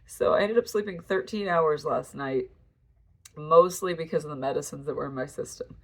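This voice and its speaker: Brief: young adult woman, lowish voice, stuffy nasal congestion, tired casual vlog talk. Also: normal speed. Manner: tired, relaxed recovery chat. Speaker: farm vlogger.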